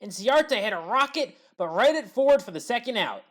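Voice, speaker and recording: A woman recapping baseball highlights in a voice-over as a home-team fan, reading steady play-by-play with wry asides. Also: moderate pace. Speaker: sports highlights commentator.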